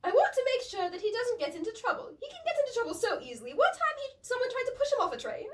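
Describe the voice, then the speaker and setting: Woman voicing a high-pitched, whiny half-elf man. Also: sing-song, quick pace, far from the mic. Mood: pleading. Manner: chatty, whining, naively earnest. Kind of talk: comic fantasy fan fiction reading.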